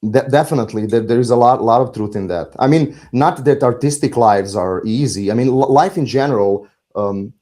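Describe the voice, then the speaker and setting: A middle-aged man with a Serbian accent, talking reflectively as an interview guest, halting and philosophical as he searches for words. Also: medium pitch, warm tone. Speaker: podcast guest, musician.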